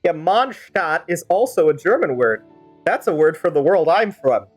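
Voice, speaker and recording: A man with a notably high-pitched voice commentates on a game stream. He rambles in a chatty, casual way and drifts into playful role-play. Game music plays behind him. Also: lively intonation, normal speed, husky. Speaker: livestreamer.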